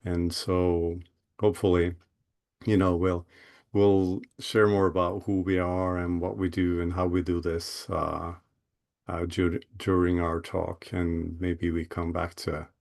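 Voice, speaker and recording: A man from Norway speaking as a guest on a video call, eager and warm in his words, often restarting and repeating words. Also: deep voice, level tone, takes it slowly, gravelly. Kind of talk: casual talk on a video call. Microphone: computer mic on a video call.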